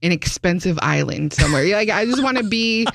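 A woman's voice: fairly low pitch, lively intonation, fairly quick, gravelly, fairly bright tone, no room sound, clean studio sound. A woman hosting a music interview, bubbly and enthusiastic, with lots of "like". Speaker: radio host.

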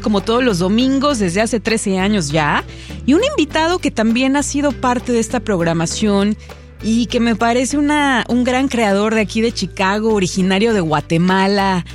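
A woman hosting a live public radio interview, chatting eagerly with interjections. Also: mid-range voice. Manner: warm conversational, eager interjections. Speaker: radio host. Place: radio studio. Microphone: studio microphone.

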